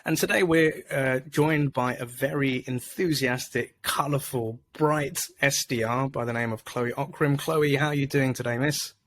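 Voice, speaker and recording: A man's voice: mid-range voice, steady tone, normal speed, few pauses, a bit bright. Upbeat male podcast host, faint hiss. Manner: upbeat, filler-heavy, lots of "like". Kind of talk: casual video-call interview. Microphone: video call.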